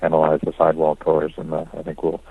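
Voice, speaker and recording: A man answering questions as a company executive on an investor conference call, speaking in a careful, hedging, measured way. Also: extremely low pitch, completely flat delivery, phone-quality sound. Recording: dry conference call audio.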